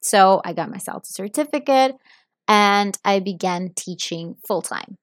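Italian woman, fluent American-sounding English, podcast host, chatty direct address, medium pitch, dry close mic. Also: animated, measured pace, no room sound. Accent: American-sounding English learned as a second language. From Italy (Milan). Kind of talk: casual solo podcast talk.

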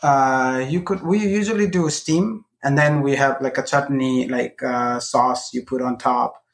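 A man in his forties from Nepal, a podcast guest talking in a friendly way, pausing often with fillers. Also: mid-range voice, steady tone. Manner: friendly, halting with many fillers. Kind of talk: casual interview chat.

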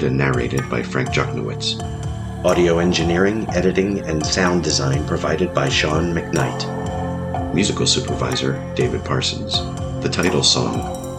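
A man's voice: very deep voice, measured pace, music in the background. A man reading scripted end credits as an announcer for an audio drama.

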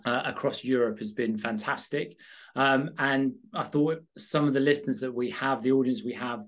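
A man hosting a podcast interview, asking long, rambling questions with fillers. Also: medium pitch. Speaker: podcast host.

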